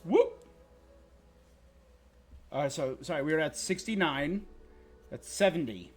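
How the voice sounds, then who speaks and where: Man, game streamer, casual live commentary, lively swings in pitch, short thinking-aloud phrases, clear music behind. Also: a little slow.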